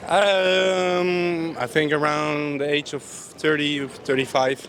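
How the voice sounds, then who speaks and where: Hesitant young man, slightly high voice. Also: level tone, takes it slowly, fairly bright tone. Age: 20s. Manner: hesitant, many ums and restarts. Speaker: interviewee. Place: city street outdoors.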